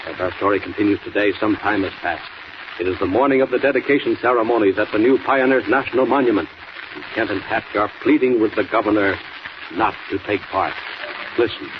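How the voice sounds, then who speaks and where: A man's voice: fairly low pitch, a little fast, natural voice. A man narrating a 1940s radio serial as a grand, theatrical announcer. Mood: solemn. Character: solemn, dramatic male radio serial announcer. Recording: hissy, dull old radio transcription.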